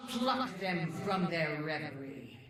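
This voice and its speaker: A woman's voice: fairly low pitch, animated. A woman voicing an ancient, vengeful undead female spirit in a video game, making solemn, coldly condemning pronouncements with a spectral effect. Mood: cold condemnation. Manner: slow, solemn, archaic pronouncements. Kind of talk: video game character voice lines. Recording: echoing, distant game audio with music.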